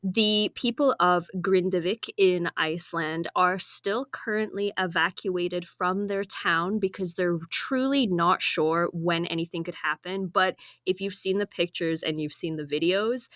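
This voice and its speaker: A woman's voice: lowish voice, measured pace, few pauses, fairly bright tone, dry recording, studio quality. A woman co-hosting a podcast in casual chat, talkative and laughing often, her voice slightly strained from screaming. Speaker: podcast co-host.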